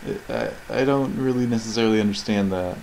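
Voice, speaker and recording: Male game commentator, casual and sardonic, rambling, recorded over clearly audible game sound. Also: lowish voice, a little fast, slight background noise, rough recording. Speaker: commentator reviewing video game cutscenes. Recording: voice over loud game audio.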